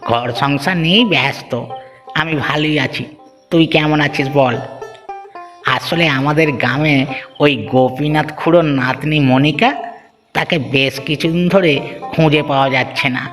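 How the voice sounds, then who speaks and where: A man voicing a worried, pleading village uncle, earnest and animated, in a moral-story cartoon. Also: a little high, close to an everyday voice. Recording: long hall reverb, music underneath.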